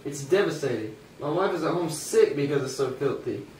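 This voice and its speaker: Guy as worried city dad in a homemade puppet show, high voice, echoey. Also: expressive, fairly quick, darkish tone, natural voice. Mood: somber. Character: worried working-class city man, family father. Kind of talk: amateur puppet show dialogue. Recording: echoey room, distant mic, faint noise.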